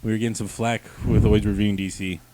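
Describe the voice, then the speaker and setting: A man's voice: lowish voice, level tone, fast talker, warm tone. A young man co-hosting a comic book review show in casual, joking banter, with informal, slangy, sweary talk.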